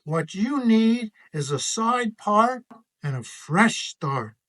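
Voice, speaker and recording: A man's voice: high voice, very animated, slow, fairly bright tone, heavily acted. A friendly barber who has known hard times, voiced by a man. He is grateful and encouraging, in a simple storybook read-aloud style.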